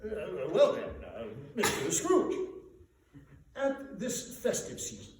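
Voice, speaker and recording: A man's voice: high-pitched, big pitch swings, takes it slowly, pitched above the performer's own voice, exaggerated voice. A man reading a storybook as a polite, portly Victorian charity collector who speaks formally and a little hesitantly. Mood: earnest, solicitous. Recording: echoey room, distant mic, faint noise.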